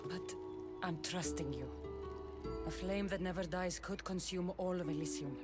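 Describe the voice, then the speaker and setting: A woman's voice: fairly low pitch, moderate pace, lightly acted. Woman acting blunt, self-assured mercenary heroine over music. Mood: wary, warning. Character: Kassandra, tough skeptical female mercenary of ancient Greece. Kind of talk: video game cutscene acting.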